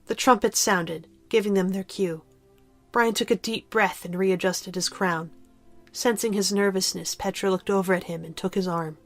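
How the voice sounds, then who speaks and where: A woman's voice: mid-range voice, fairly even intonation, normal speed, faint music behind. A woman reading scripted story narration as the narrator of an audio drama.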